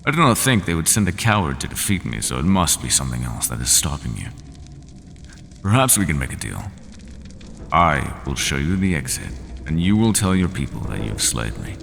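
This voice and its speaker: A resigned, half-human horned monster from an audio roleplay speaks in an extremely deep, gravelly voice. His lines are formal and unhurried, with no contractions, and his pitch swings widely. The voice rings out in a long hall reverb over faint ambient noise. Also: very animated, fairly bright tone, exaggerated voice. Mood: solemn, weary. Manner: slow, formal speech without contractions.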